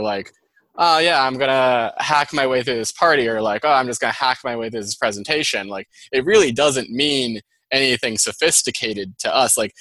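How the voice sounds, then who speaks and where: Male podcast guest, Boston accent, crisp forward tone, chatty explainer. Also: medium pitch, sharp tone, no echo. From Boston, USA. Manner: chatty, fast-flowing explanations with many "like"s. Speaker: guest, data scientist. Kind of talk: casual interview chat.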